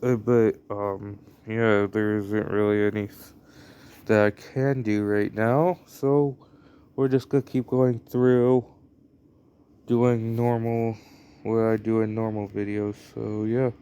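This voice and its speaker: Slow, rambling young American man. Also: on the low side, flat delivery, mellow, clean tone. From United States. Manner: rambling, hesitant, many fillers. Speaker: gameplay commentator. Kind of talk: casual gameplay commentary.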